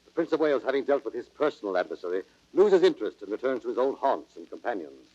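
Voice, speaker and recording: Dull old-record sound, man, dramatic scripted narration, formal, grave, highly varied intonation. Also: big pitch swings, fast talker, darkish tone.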